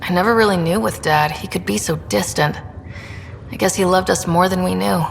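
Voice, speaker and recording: A woman's voice: fairly low pitch, a little fast, creaky. A woman playing an earnest, caring young explorer in a sci-fi video game, talking in a subdued, conversational way. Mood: somber. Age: young adult. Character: earnest, caring young female space explorer, twin sister. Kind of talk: naturalistic video game dialogue acting. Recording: reverberant room, faint ambient noise.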